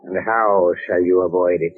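An actor in a 1940s radio horror drama playing a sinister apparition who torments with cold, mocking politeness. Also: male voice, low-pitched, fairly even intonation, phone-quality sound. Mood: cold taunting. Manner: slow, deliberate, mockingly polite. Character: sinister taunting male apparition, coldly patient tormentor. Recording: thin band-limited old radio recording.

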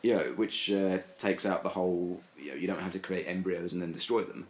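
A man's voice: low-pitched, fairly even intonation, brisk, echoey room, poor audio. A man talking casually as a podcast guest, hedging and rambling with many fillers and restarts. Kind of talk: casual discussion.

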